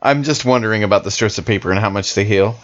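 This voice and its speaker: A man's voice: lowish voice, quick pace, a bit bright, highs cut off. A man playing a tabletop game, moving between casual chat and in-character lines.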